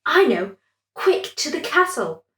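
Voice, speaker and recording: A woman voicing a bossy, affectionate female prawn in a bedtime story, speaking in an expressive, urgent way. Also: high-pitched, measured pace, reverberant, pitched above the performer's own voice, full character voice. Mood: urgent, hushed.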